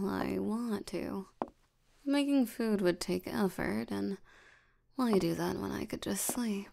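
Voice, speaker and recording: A woman playing a lazy, sleepy, ancient demon in an ASMR roleplay, drowsy and unbothered, with a soft tired voice. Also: medium pitch. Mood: drowsy, hungry. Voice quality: soft, breathy, creaky tired voice. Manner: drowsy, slow, drawling, unbothered. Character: sleepy, lazy female sloth demon, ancient but youthful.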